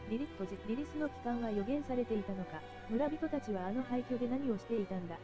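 A woman streaming a game, reading its text aloud in a halting way and repeating words. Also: fairly high pitch, lively intonation, over music.

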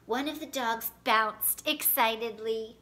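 A woman in her thirties reading a children's picture book aloud in an expressive, animated way. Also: a little high, takes it slowly. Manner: animated, clearly paced storytelling. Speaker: storyteller reading picture books. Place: indoor living room by a fireplace.

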